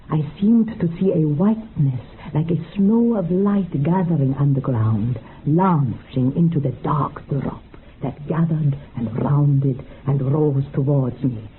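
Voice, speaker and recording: An actress playing a solemn, utterly convinced female mystic, speaking in grand, incantatory poetic phrases in a radio drama. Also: sits low. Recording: tinny narrow-band radio sound, faint noise.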